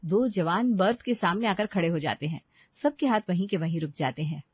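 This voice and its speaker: A woman narrating a moral story in a smooth, scripted storytelling way. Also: on the low side, lively intonation, fairly quick, no echo. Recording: dull dry voice-over.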